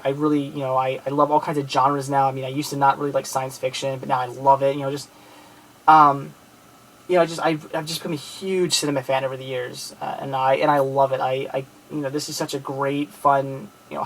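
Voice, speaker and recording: A man's voice: mid-range voice, little pitch movement, quick pace, creaky, fairly bright tone, slight background noise, poor audio. A man in his late twenties from outside Philadelphia, talking casually to camera about his movie collection, rambling with many fillers and restarts in a friendly, grateful way. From outside Philadelphia, Pennsylvania.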